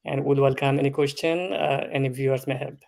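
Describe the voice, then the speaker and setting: A man from Bangladesh in his thirties, a food safety consultant answering interview questions in a measured, explanatory way with frequent pauses. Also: mid-range voice, steady tone, gravelly, darkish tone. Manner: slow, measured, explanatory with filler ums.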